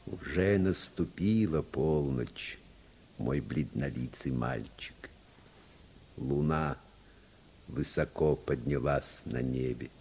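Very deep, gravelly, solemn tribesman. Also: a little mellow, heavily acted. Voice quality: gravelly, husky. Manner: slow, solemn. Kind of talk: Soviet radio play acting.

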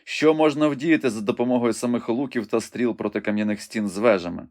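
A man's voice: medium pitch, a little fast, a little mellow, close to an everyday voice. A man voicing a wounded young knight, impatient and urgent, pressing questions from his bed. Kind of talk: audiobook reading.

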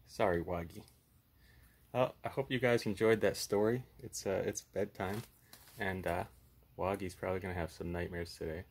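A man's voice: sits low, fairly even intonation, normal speed, creaky. A man in his thirties hosting a puppet show, chatting casually and reading a horror story aloud.

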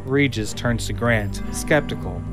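A man's voice: mid-range voice, a little slow, over music. A man narrating a scripted audio drama, reading screenplay action.

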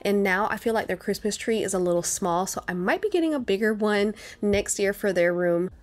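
Woman in her 30s, mid-pitched, chatty, upbeat vlog voice-over. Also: brisk. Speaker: homemaking vlogger. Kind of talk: casual voice-over narration.

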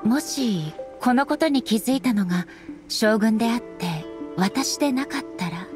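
A woman playing a solemn, dignified female ruler in an anime game, speaking formally and with measured politeness. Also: a little high, lively intonation, over music, lightly acted. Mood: firm, formal. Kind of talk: anime game dialogue acting.

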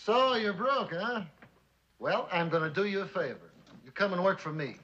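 Cynical American police boss, fairly high male voice, forceful and needling, old TV drama, dull lo-fi sound. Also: expressive, moderate pace, very noisy. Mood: pushy, persuading. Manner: needling, bossy. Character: brash, cynical former army captain turned police boss. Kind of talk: mid-century American suspense drama acting.

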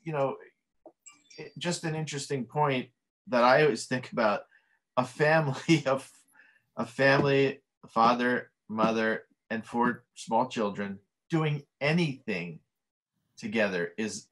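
A man's voice: medium pitch, a little slow, fairly bright tone, rough recording. A middle-aged man, a film director, answering interview questions casually over a video call from a home office, with many fillers. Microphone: webcam video call.

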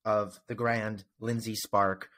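Young male podcast host, lowish register, conversational questioning into a desk mic. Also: flat delivery, slow pace, fairly bright tone. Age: young adult. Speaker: podcast interviewer. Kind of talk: conversational interview. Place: indoors at home, on a video call. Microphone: desktop microphone close in front.

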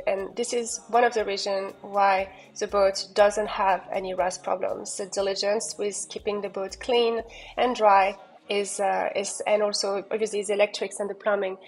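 A woman with a voice of ordinary pitch narrates a yacht tour in a loose, enthusiastic way, pausing on fillers and restarting her sentences. Soft music plays faintly under her voice. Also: poor audio. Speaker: yacht broker giving a boat tour. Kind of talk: walkthrough narration.

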